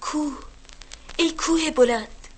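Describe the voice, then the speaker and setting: A woman's voice: high-pitched, a little hiss or hum, natural voice. A woman voicing a small sparrow in a children's audio story, calling out earnest questions. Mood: questioning. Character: small bold pleading sparrow.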